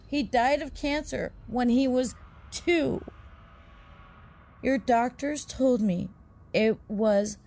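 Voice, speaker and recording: A woman voicing a despairing, grieving mother in a horror story, halting and sorrowful. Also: on the high side, creaky, darkish tone, pitched above the performer's own voice, full character voice. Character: despairing, grieving mother's farewell letter to husband. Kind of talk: dramatic horror story reading. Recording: music behind the voice.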